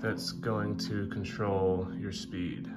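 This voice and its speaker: A man with a Southern American accent talking through a how-to at a workshop bench, relaxed and full of ums and uhs. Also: low-pitched, slow pace. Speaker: fishing channel host. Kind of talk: casual how-to walkthrough. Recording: loud guitar music behind.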